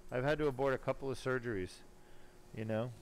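A man's voice: medium pitch, steady tone, fast. Male surgeon talking over operating room noise. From born in Germany, later lived in California. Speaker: spine surgeon operating and explaining. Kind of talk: live surgery commentary, casual explanation. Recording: clearly noisy operating room sound. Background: room noise and ambience.

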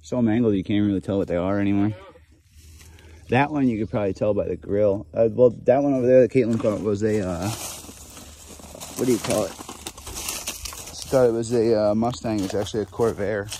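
A man's voice: fairly low pitch, normal speed, long pauses, mellow. A man giving casual, sweary off-the-cuff commentary while exploring outdoors. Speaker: urban explorer filming abandoned cars. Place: outdoors in woods beside railroad tracks. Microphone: handheld camera. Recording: noisy outdoor handheld camera recording.

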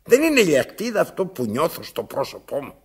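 An actor playing a domineering, self-pitying old blind man in a radio drama, raspy and gravelly, imperious and dramatic, repeating his phrases. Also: on the high side, wildly swooping pitch, darkish tone, exaggerated voice. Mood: wistful, demanding. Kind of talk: theatrical radio drama acting.

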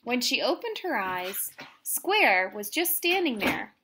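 Female children's story reader, deliberate and dramatic, slow pace, animated pitch. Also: noisy background. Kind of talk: expressive children's read-aloud. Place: room at home.

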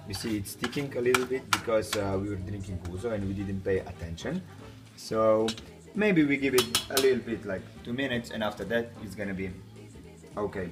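A man's voice: fairly low pitch, normal speed, far from the mic, music in the background. Brief: young man spoofing a TV chef, jokey and chatty; recorded off-mic in a roomy kitchen. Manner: joking, loose and chatty while cooking. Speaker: host of a homemade cooking show. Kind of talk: playful mock cooking-show talk. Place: small home kitchen, indoors. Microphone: camera a few feet away.

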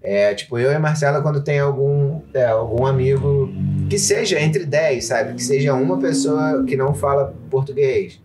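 A man's voice: medium pitch, normal speed, over music. A young man from Brazil with a Brazilian accent, hosting a podcast in a chatty way and prompting his guest, at a desk mic in a living room. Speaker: podcast host. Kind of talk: casual chat. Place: home living room at a table. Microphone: desk mic on stand, wears headphones.

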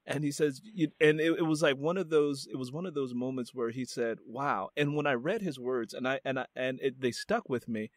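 A man's voice: mid-range voice, brisk, few pauses, dry recording, clean studio sound. Earnest male podcast host. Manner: earnest, repeats phrases for emphasis. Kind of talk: casual interview conversation.

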